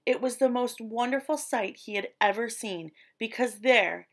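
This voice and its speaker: A woman storyteller narrating a children's picture book expressively, with deliberate, dramatic emphasis on descriptive words. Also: fairly high pitch. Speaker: read-aloud storyteller.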